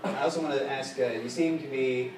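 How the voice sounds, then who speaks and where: A man co-hosting a live comedy show, reading a mock news report in a halting, dry way full of "uh"s. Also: medium pitch, fairly even intonation. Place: comedy bar stage with live audience. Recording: echoey live room from far off, loud crowd.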